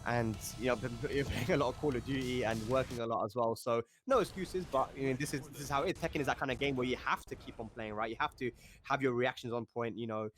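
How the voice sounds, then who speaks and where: A man calls a fighting-game tournament live, talking quickly and easily. He sounds chatty and enthusiastic. Faint game music plays underneath. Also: mid-range voice, a bit bright. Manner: chatty, enthusiastic, laughs often. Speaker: esports commentator.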